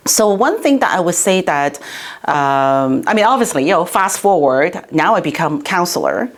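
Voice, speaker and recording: A middle-aged woman, originally from Hong Kong, talks as an interviewee about her work as a city councillor. Her voice sits somewhat low for a woman. She speaks in a loose, chatty way, full of "you know" and restarted phrases. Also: expressive, moderate pace. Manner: chatty, many fillers and restarts. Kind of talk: interview answers.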